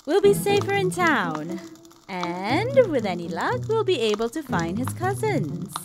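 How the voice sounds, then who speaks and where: Woman playing a feisty old granny, high and lively. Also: background noise, heavily acted. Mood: brisk, firm. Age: older. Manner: brisk, bossy, folksy. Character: feisty, no-nonsense old grandmother. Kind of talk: fan comic dub voice acting. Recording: music behind the voice.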